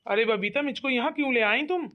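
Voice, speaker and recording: A woman's voice: fairly high pitch, fast, muffled recording, natural voice. A woman voicing a polite, obedient young son in an amateur cartoon comedy skit. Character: mild, obedient young man, a dutiful son.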